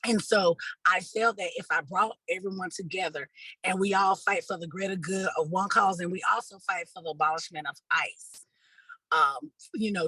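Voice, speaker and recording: Woman organizer giving interview answers, husky forceful voice, earnest, kinda halting, close dry sound. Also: fairly low pitch, no echo, poor audio. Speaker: community organizer and activist, interview guest.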